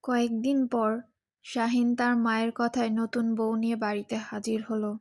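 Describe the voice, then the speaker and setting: High-voiced woman narrating a story. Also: level tone, normal speed, a little mellow. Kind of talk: scripted cartoon storytelling narration.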